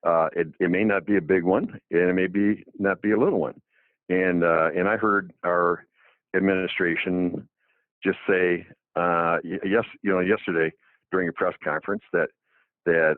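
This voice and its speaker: A man's voice: deep voice, fairly even intonation, normal speed, dry recording. A man in his seventies, a doctor, talking casually on the radio with many restarts and fillers. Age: 70s. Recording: phone-like dry narrow line.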